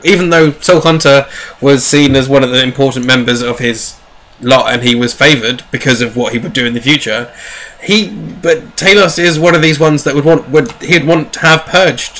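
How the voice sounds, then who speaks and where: A man with a bright, forward voice and medium pitch talks as a co-host in a loose fan discussion. He rambles enthusiastically, doubling back on his phrases as he goes. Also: a little hiss or hum. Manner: casual swearing. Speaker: podcast co-host. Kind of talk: casual fan discussion of sci-fi novels.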